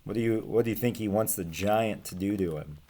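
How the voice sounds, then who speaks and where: A young man reading a children's storybook aloud in an expressive, deliberate way. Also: on the low side, a little hiss or hum. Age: young adult. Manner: animated, deliberate, asks listeners questions. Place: small room with bookshelves.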